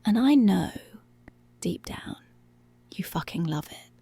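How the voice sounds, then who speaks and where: Woman playing a slow, creaky, commanding policewoman. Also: mid-range voice, lively intonation, mellow, no echo, full character voice. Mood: seductive, possessive. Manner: slow, breathy half-whispered, possessive teasing. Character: dominant, teasing, commanding adult policewoman. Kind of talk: intimate ASMR roleplay.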